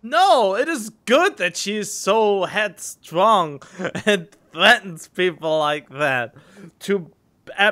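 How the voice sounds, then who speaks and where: A man giving casual, expressive gameplay commentary, talking in halting, emotional bursts. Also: high-pitched. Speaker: game streamer. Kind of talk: casual reacting commentary over a game.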